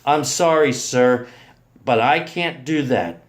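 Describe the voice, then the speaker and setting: A man's voice: mid-range voice, takes it slowly, close to an everyday voice. A man playing a devoted, protective male lover in a story, speaking in an earnest, reassuring way. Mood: firm, earnest.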